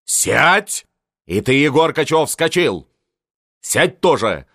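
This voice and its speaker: Guy doing a bellowing old army boss, high strained yell, dead dry sound. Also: big pitch swings, slow pace, no echo, heavily acted. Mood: commanding. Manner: roaring, scolding. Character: old furious field marshal, blustering, domineering.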